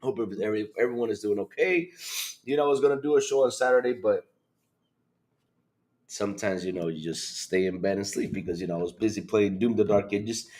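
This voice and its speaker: A man hosting a casual livestream with a congested, slightly hoarse voice, swinging between loud bursts and low asides. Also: a little fast. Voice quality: hoarse, grumpy-sounding congested voice. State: congested, sick with allergies or a cold. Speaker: livestream podcast host. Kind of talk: casual livestream chat to viewers.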